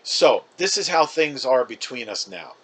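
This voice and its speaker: A man's voice: medium pitch, sing-song, a little slow, a bit bright, breathy edge, heavily acted. A man reading a storybook as a chatty, wounded, defensive fifth-grade boy, casual and emphatic. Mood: defensive, resigned.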